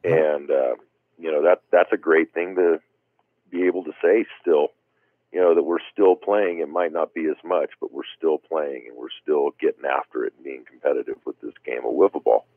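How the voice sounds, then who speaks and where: A man's voice: very deep voice, steady tone, moderate pace, no room sound. A man speaking as a guest in a casual interview, rambling with many "you know" fillers. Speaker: guest wiffle ball player. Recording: tinny phone line.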